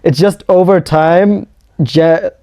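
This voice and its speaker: A man's voice: sits high, fairly even intonation, slow pace, dark tone, no room sound, clean studio sound. A young man in his twenties from New Zealand, a university student as guest, speaking reflectively with light laughs. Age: 20s. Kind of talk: casual interview.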